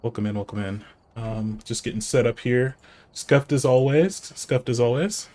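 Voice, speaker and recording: A man's voice: mid-range voice, normal speed, mellow. A man with a nasal voice streaming live, chatting casually and rambling to himself and his chat while he sets up.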